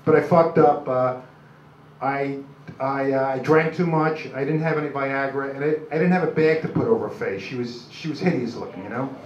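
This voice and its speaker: An older man with a New York accent does halting, self-deprecating, rambling stand-up comedy. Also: mid-range voice, recorded from a distance. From Brooklyn, New York, USA. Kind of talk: live stand-up comedy, crude jokes. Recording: dull, noisy, echoey club recording from afar.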